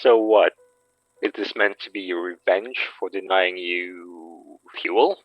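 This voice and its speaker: A man's voice: low voice, slow pace, no echo, highs cut off. A man playing an evasive phony magician in an audio drama, wry and a little defensive. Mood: defiant.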